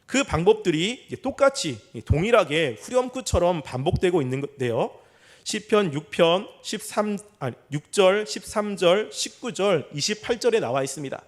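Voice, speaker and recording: High-pitched man preaching an expository sermon. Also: expressive. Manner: measured, explanatory, with formal polite endings.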